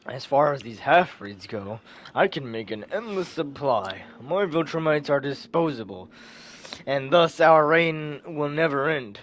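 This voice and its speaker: A man's voice: fairly high pitch, animated, unhurried, fairly bright tone, pitched below the performer's own voice. A man voicing a ruthless alien warlord father who speaks with cold, imperious contempt in a comic retelling. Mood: cold, scornful.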